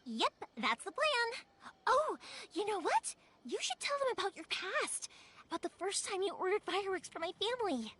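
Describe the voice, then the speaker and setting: A woman's voice: extremely high pitch, very animated, normal speed, fairly bright tone, full character voice. A woman playing a kind-hearted, cheerful young fireworks maker in a video game, speaking earnestly and expressively. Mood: bright, eager. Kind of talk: video game character acting. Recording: faint music behind the voice.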